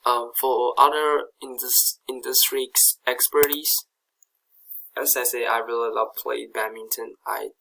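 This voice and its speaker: A man's voice: medium pitch, little pitch movement, measured pace, creaky, sharp tone, slight background noise, low-quality recording. A young man from Taiwan, a native Chinese speaker with a Taiwanese accent, giving a slide presentation in a hesitant way, with many fillers and restarts. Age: young adult. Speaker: student presenter.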